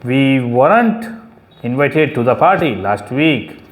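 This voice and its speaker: Low-voiced woman teaching grammar. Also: expressive, poor audio. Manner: slow, clear dictation of sentences. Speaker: English teacher. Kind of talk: repeat-after-me grammar drill.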